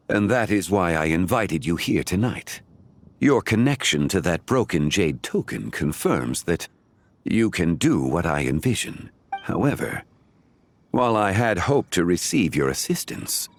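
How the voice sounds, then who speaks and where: A man playing a calm, learned, courteous scholar of ancient lore in a video game, speaking in a formal, measured, eloquent way. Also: low voice, full character voice. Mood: grave, reflective. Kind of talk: video game dialogue acting.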